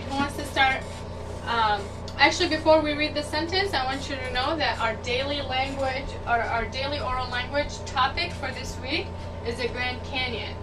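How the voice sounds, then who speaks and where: A woman teaching a class, patient and encouraging, asking the students questions. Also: high voice. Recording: distant mic, noisy classroom.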